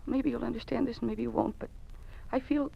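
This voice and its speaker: A woman's voice: on the high side, fairly even intonation, fairly quick, gravelly, slightly rough, heavily acted. An actress playing an earnest, brave young female teacher and dissenter in an old-time radio drama. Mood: resolute. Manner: earnest, impassioned. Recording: muffled old radio recording.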